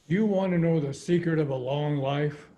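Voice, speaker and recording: A man's voice: fairly high pitch, moderate pace, dark tone, dull audio, lightly acted. A man reading the part of a cheerfully stubborn elderly father in his nineties, in a folksy, patient way over a video call. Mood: matter-of-fact.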